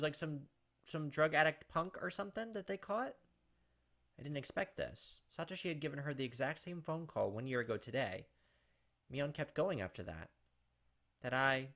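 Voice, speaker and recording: A man's voice: fairly high pitch, fairly bright tone. A young man doing casual game commentary and reading story narration aloud. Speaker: gaming video host.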